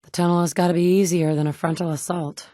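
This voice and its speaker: A woman's voice: lowish voice, level tone, fast talker, dark tone, dry recording, lightly acted. An actress voicing a tough, blunt survivor mother in a game, speaking her lines with a dry, wry delivery. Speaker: player character voice.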